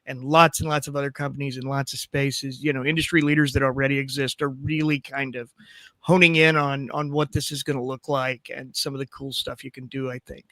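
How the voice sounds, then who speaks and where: A man hosting a live stream, hoarse from a cold, talking plainly and reading out viewer questions. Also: medium pitch, level tone, brisk, pushed and bright, dry recording. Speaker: host. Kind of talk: casual live-stream talk.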